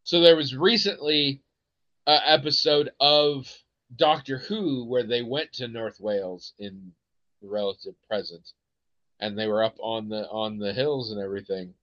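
A man's voice: medium pitch, a little slow, a bit bright, no echo, lo-fi sound. A man with an American accent hosting a podcast, chatting in a rambling, hesitant way with many fillers and restarts. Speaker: podcast host. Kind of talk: casual chat.

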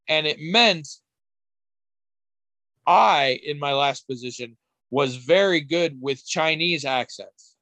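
A man's voice: fairly high pitch, slow, long pauses, bright, forceful tone, no echo. A man co-hosting a podcast, chatting casually in a rambling, candid way and swearing now and then. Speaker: podcast co-host. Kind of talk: casual chat.

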